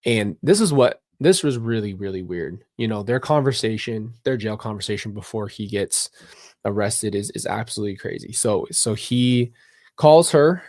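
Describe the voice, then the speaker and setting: A man giving a personal, somber commentary on a livestream, speaking haltingly and often repeating phrases. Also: lowish voice, expressive, darkish tone, no echo, clean studio sound. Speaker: documentary reaction host.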